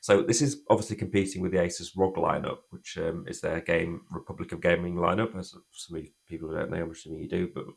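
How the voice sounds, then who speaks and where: A man with a cold, croaky and chatty, reviewing a phone in a rambling, casual way. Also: low voice, flat delivery, fast talker, few pauses. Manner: chatty, rambling, restarts sentences often. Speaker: tech reviewer.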